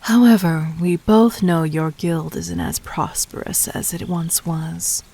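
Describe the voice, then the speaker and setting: Woman as a slow, low-voiced demon queen. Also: gravelly, dark tone, slightly rough, exaggerated voice. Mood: cool, commanding. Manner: measured, regal, formal, deliberate. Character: calculating, commanding demon queen toying with her would-be assassin. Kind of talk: scripted audio roleplay addressed to the listener.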